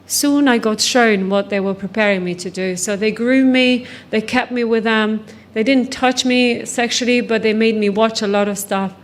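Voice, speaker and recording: Higher-voiced woman giving personal testimony. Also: steady tone, moderate pace, hardly any pauses. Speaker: guest speaker, trafficking survivor and author. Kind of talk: personal testimony told to a conference audience.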